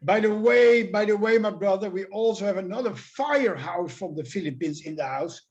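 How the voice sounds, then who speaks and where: A man's voice: high voice, moderate pace, darkish tone. A man hosting an online meeting, introducing speakers in a casual, effusive way over a video call. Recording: dull lo-fi online call audio.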